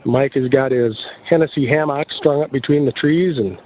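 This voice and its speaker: A man's voice: medium pitch, normal speed, darkish tone. An American man giving casual, playful video commentary as an amateur radio operator outdoors at a campsite. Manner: joking, playful. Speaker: amateur radio operator filming a camping trip. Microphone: handheld video camera. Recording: noisy outdoor camcorder audio.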